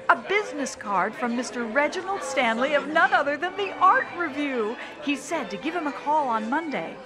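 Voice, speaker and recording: High-voiced, bustling, excitable female assistant in a radio play, very lively intonation. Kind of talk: audio drama acting. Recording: music under the voice.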